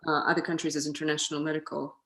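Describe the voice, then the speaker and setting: Woman host, kinda low voice, friendly and a bit halting, echoey room. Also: monotone, a bit bright. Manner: friendly, hesitant with restarts. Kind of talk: casual interview.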